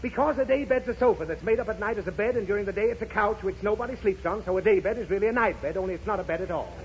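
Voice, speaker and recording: A man's voice: high-pitched, rapid-fire, close to an everyday voice. An actor playing an irritable American father in a 1930s radio comedy, grumbling and explaining things with huffy sarcasm. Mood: grumpy, impatient. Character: exasperated, grumbling father of a pestering little girl. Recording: dull, noisy 1930s radio recording.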